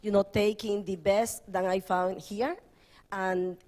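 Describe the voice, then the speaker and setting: A woman's voice: mid-range voice, steady tone, takes it slowly, darkish tone. Woman searching for words on a panel. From Dominican Republic. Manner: broken phrasing, searching for words. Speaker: panelist, artist. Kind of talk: panel discussion answer.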